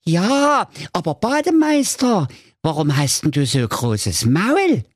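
A man's voice: sits high, big pitch swings, slow pace, no room sound, studio quality, voice pushed up, exaggerated voice. A man voicing cheeky, whining little goat kids from a storybook, with a childlike questioning manner. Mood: curious.

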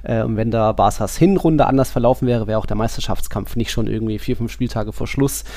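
A man's voice: on the low side, brisk, dark tone, no room sound, clean studio sound. A man co-hosting a football podcast, talking in a colloquial, wry, rambling way. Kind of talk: casual podcast discussion.

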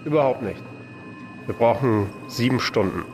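A man's voice: medium pitch, animated, moderate pace, a little mellow, soft background music, lightly acted. A man playing a jittery, fatalistic alien spaceship pilot in a science fiction audio drama. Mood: dry.

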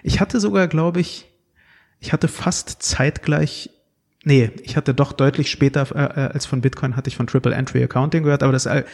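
A man's voice: mid-range voice, fairly even intonation, normal speed, gravelly, a little mellow. A man hosting a casual podcast conversation, hesitant and searching for his words, with many fillers. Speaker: podcast host.

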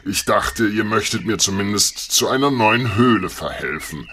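A man's voice: on the low side, lively intonation, moderate pace, bright, forceful tone, slight background noise. A man voicing a politely aggrieved dragon in a German fairy-tale audio drama. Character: grumbling but courteous male dragon seeking peace.

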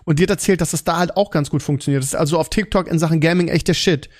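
Male podcast host, German, higher register, loose conversational delivery that circles back on itself. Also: rapid-fire. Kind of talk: casual gaming podcast chat.

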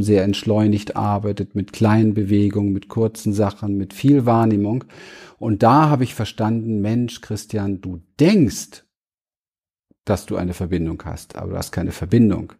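A man's voice: on the low side, gravelly, dark tone, husky. A man speaking earnestly as a guest in an interview, searching for words and restarting phrases as he explains. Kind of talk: reflective interview talk.

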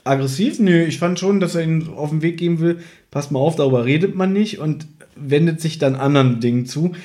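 A man's voice: a little high, a little fast, mellow. A man co-hosting a podcast, chatting casually and conversationally as he recaps an audio drama from his notes. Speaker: podcast co-host.

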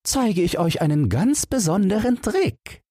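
A man's voice: high voice, sing-song, a little slow, dark tone, breathy edge, no room sound, studio quality, pitched above the performer's own voice, full character voice. A man voicing a cheeky, cunning young fairy-tale lad with a lilting, cocky delivery. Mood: cheerful, sly.

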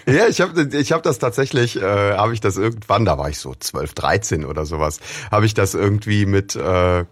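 A man's voice: on the low side, expressive, moderate pace, husky. A man co-hosting a podcast in casual chat, talking with many fillers and asking for agreement. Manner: chatty, tag questions, hesitant fillers. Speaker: podcast co-host.

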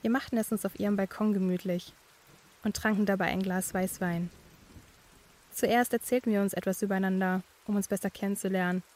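A woman playing a young student who narrates her eerie experiences in a measured, scripted first-person style. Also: mid-range voice, steady tone, a little mellow, lightly acted. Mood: measured, uneasy.

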